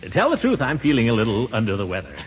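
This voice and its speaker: An actor playing a pompous, flustered middle-aged uncle, hesitant and blustering, in a 1940s radio comedy. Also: male voice, mid-range voice, huge pitch swings, fast talker. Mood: ailing, grumbling. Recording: muffled noisy 1940s radio, studio audience.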